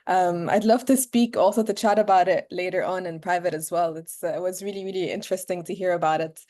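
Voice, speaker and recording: A woman's voice: lowish voice, mellow. A young woman from Egypt giving an earnest, casual talk over a video call, with many fillers and restarts. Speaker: guest speaker.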